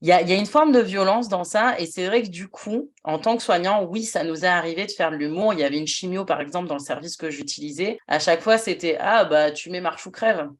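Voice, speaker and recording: Woman, lowish voice, reflective, thinking aloud, podcast host. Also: fast, hardly any pauses. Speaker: podcast host, former nurse. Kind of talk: casual podcast conversation.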